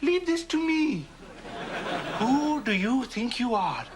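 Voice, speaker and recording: Young guy, earnest and kinda naive, high bouncy voice, noisy background. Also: very animated, slow, crowd noise. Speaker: sitcom character, an earnest young helper. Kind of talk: sitcom acting.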